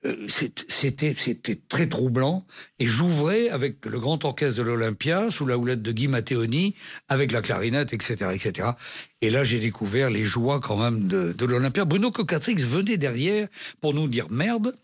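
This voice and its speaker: An older man from France, a radio guest recalling his stage career in a relaxed, anecdotal way, with many restarts. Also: mid-range voice, normal speed, husky. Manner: hesitant restarts, animated retelling. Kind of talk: anecdotal interview storytelling. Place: radio studio.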